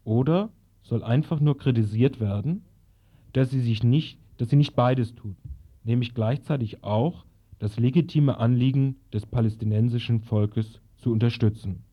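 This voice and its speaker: A man's voice: mid-range voice, slightly rough. Dull-sounding recording, man reading formal statement. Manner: formal, measured, deliberate phrasing. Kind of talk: reading a prepared political statement.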